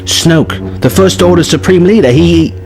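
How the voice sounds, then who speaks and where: A man voicing an earnest, awestruck young woman apprentice who speaks haltingly in a space-adventure story. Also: a little high, creaky, darkish tone, pitched above the performer's own voice, low-quality recording. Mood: earnest, protesting.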